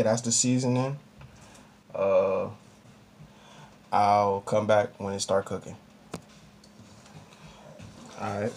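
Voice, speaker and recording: A man's voice: lowish voice, darkish tone. Offhand young American man, noisy recording. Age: young adult. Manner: slangy, swearing, offhand. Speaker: home cooking vlogger. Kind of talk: casual chat while demonstrating cooking. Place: small home kitchen, indoors. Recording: clearly noisy amateur camera recording.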